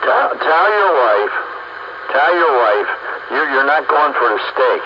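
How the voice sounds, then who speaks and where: A man's voice: mid-range voice, very animated, a little slow. A middle-aged or older man chatting casually in a folksy, teasing way over two-way radio. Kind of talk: casual chat. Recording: muffled, noisy two-way radio transmission.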